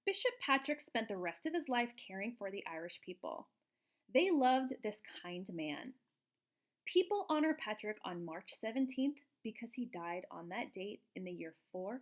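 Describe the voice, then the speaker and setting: A woman reading a picture book aloud to young children in an expressive, explanatory way, like a teacher. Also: medium pitch. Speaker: children's storybook reader, teacher.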